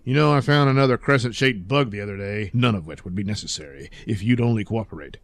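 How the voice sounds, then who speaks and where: Casting: man to play exasperated police chief in superhero radio spoof; gruff, gravelly, tired of nonsense. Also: fairly low pitch, fast, natural voice. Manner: gruff, fed-up, sighing. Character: weary, exasperated male police commissioner. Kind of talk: comedic superhero audio play acting.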